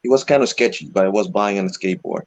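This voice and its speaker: Low-voiced Venezuelan-raised man, halting delivery. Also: creaky, darkish tone, no room sound. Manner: halting, frequent "you know" fillers. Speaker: interview guest, electric skateboard rider. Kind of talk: casual video call interview.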